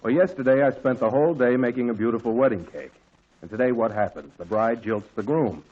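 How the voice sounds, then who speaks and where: An actor playing a kindly, folksy caterer in a 1940s radio comedy, drawling and haggling, on a dull lo-fi old recording. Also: male voice, mid-range voice, animated, brisk, darkish tone, breathy edge. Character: kindly older male shopkeeper and caterer, folksy.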